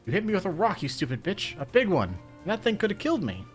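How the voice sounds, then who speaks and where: A cruel gang-leader boy from a storybook, pitched high and shouting his taunts, as a man reads him. He sneers at everyone around him. Faint music plays under the reading. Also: lively intonation, a little fast, natural voice. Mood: furious.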